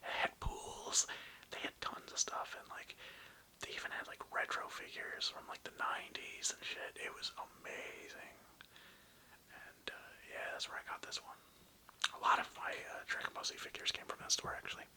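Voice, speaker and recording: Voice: normal speed, pushed and bright. A person whispering a casual, slangy, nostalgic ASMR ramble in a hesitant, meandering way, close to the microphone. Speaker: ASMR video creator.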